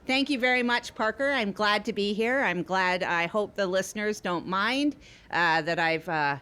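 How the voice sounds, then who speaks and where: A woman's voice: medium pitch, normal speed, bright, forceful tone, clear, resonant tone, lightly acted. A woman playing an eager, agreeable small-town newcomer host, polite and self-correcting in improvised comedy podcast banter. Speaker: new podcast host on trial.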